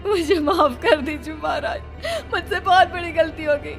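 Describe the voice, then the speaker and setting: A woman's voice: high-pitched, normal speed, vocal fry, soft background music. A woman playing a guilt-ridden, desperate mother in a horror cartoon, pleading and tearful.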